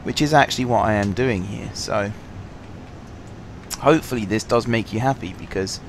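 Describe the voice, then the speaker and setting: A lower-pitched man with a sore, slightly husky throat talks through his game on a headset mic. He rambles along in a relaxed, apologetic way, full of ums. Faint game sounds and vehicle hum sit behind him. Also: normal speed. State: sore throat, fighting coughs. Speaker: gaming channel host. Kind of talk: casual let's-play commentary.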